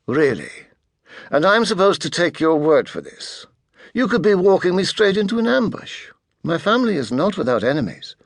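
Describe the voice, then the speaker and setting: A man voicing a pale, testy adolescent mastermind in a soft, clipped voice, speaking formally and with authority in an audiobook. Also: high voice, sing-song, normal speed, vocal fry, dry recording, studio quality, pitched above the performer's own voice, heavily acted. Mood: cool, menacing. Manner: clipped, precise, formal speech.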